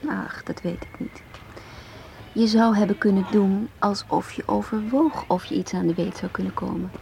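A woman's voice: mid-range voice, moderate pace, creaky, warm tone, lightly acted. An actress playing a cool, self-assured, seductive female agent in a radio play, knowing and challenging in her talk. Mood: probing, confident. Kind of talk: radio drama acting. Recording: hissy old radio play recording.

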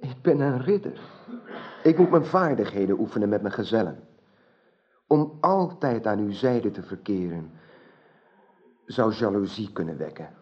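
An actor playing a loyal, courteous knight in a radio drama, guarded and earnest. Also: male voice, on the low side, lively intonation, measured pace, long pauses. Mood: troubled, devoted. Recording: dull old radio drama recording.

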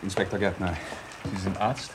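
Male actor as authoritative inspector, radio crime drama. Lower register, courteous, businesslike, official. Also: level tone, normal speed, a little hiss or hum, close to an everyday voice.

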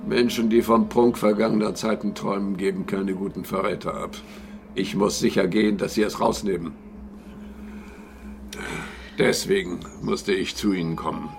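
An actor playing a wry, self-satisfied informant in a radio crime drama, confiding with drawled hesitations and irony. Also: male voice, lowish voice, fairly even intonation, normal speed, vocal fry, background noise. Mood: wry, self-amused. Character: wry, self-satisfied male insider, shrewd secretive informant.

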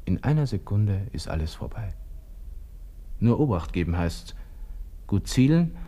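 A young Austrian officer brooding over his own end in a radio play. His Viennese voice is low and mellow, muttering intimately in broken, colloquial musing. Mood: grim, brooding. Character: young Austrian army lieutenant, despairing, brooding over suicide. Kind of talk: radio drama interior monologue, close and intimate.

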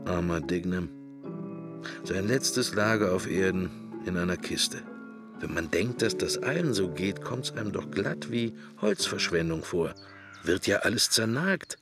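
An actor playing a wry, brooding middle-aged man murmuring a wandering inner monologue in a radio drama, gravelly and husky. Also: low-pitched, animated, a little slow, music in the background. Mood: wry musing. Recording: roomless, very dry studio sound.

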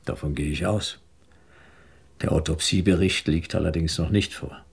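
A man's voice: very deep voice, steady tone, normal speed, vocal fry, breathy edge, exaggerated voice. An actor playing a weary, defensive husband pleading with his wife in a German radio drama.

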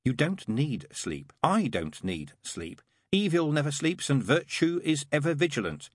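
A man's voice: on the high side, lively intonation, fairly quick, no echo, clean studio sound, pitched above the performer's own voice, natural voice. A man voicing a worldly, world-weary demon in an audiobook, with a sarcastic, offhand, drawling manner. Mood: bitter, weary.

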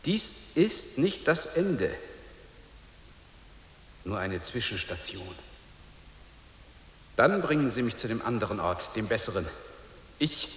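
An actor playing an anguished, devoted father who clings to his faith in a radio play, moving between halting murmurs and fervour. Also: mid-range voice, big pitch swings, a bit bright. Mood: fervent. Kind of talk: dramatic radio play monologue. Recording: echoey reverberant space with hiss.

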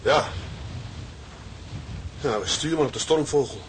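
A man's voice: mid-range voice, lively intonation, unhurried, close to an everyday voice. An actor playing a brooding young seaman in a radio drama, terse and subdued. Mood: troubled, brooding. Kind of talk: Dutch radio drama acting. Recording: noisy radio drama recording.

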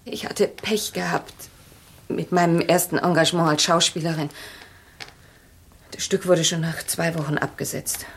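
An actress playing a guarded, wistful widow and former stage assistant in a radio crime play, speaking in a measured, confessing way. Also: female voice, low voice, steady tone, slightly rough.

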